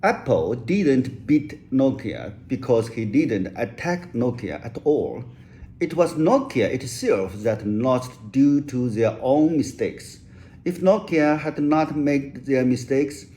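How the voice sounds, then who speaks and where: Slow, careful man. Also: mid-range voice, sing-song, dark tone. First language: Chinese. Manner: slow, careful delivery with occasional restarts. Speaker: author giving a lecture. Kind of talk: rehearsed English lecture.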